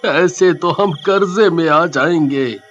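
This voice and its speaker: A man voicing a poor, worried village farmer in a cartoon story, complaining in a plaintive, deliberate way. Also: fairly high pitch, fairly bright tone, lo-fi sound, soft background music. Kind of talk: cartoon moral story acting.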